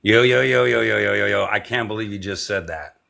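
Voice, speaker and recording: A man joining a group call, gushing and excitable, exclaiming as he talks. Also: on the low side, fairly quick, gravelly.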